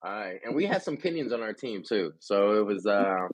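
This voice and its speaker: A man's voice: on the low side, animated, moderate pace, no echo, rough recording. A man hosting a podcast in casual chat, who also reads passages from a book aloud and calls his guest bro and dude. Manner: enthusiastic, says bro and dude often. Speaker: podcast host.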